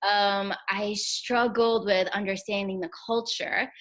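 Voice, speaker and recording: A woman's voice: mid-range voice, steady tone, slow, fairly bright tone. A young woman from Egypt, a chiropractor guest, talking candidly about her studies and laughing often. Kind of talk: casual interview conversation.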